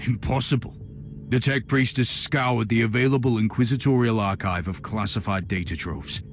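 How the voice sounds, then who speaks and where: An actor playing a stern, zealous imperial inquisitor in a video game, speaking in a formal, righteous, declamatory way. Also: male voice, mid-range voice, normal speed, close to an everyday voice. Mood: stern, measured. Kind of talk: video game cutscene dialogue. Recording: loud music behind the voice. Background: loud game music.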